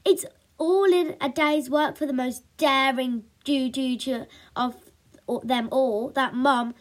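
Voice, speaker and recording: A woman's voice: sits high, fairly even intonation, slow pace. A woman voicing a boastful mother who plays a daring superhero, making grand, bombastic proclamations in a storybook reading. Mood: grandly proud.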